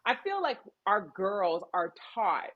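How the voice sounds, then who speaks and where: A woman's voice: mid-range voice, very animated, takes it slowly, fairly bright tone, dull audio. A middle-aged woman speaking as a guest in an interview, telling her story emphatically and with animation. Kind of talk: candid interview conversation.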